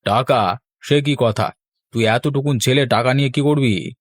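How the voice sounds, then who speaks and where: A man's voice: mid-range voice, a little slow, no echo, clean studio sound, close to an everyday voice. A man voicing a stingy village husband in a cartoon, protesting in colloquial, dramatic speech. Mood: grumpy. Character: stingy village husband, grumbling and evasive about money. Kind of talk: Bengali cartoon dialogue.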